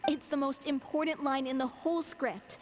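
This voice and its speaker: A woman playing a gentle, reflective young troupe singer in an anime-style game, sincere and measured. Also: high voice, steady tone, natural voice. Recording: music under the voice.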